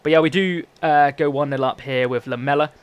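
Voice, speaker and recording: A man's voice: on the high side, fairly even intonation, brisk, clean tone, lo-fi sound. Young man, congested from a cold, rattling through chatty game commentary at speed. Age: young adult. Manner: chatty, rambling, frequent self-corrections. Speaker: gaming YouTuber. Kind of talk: casual gameplay commentary.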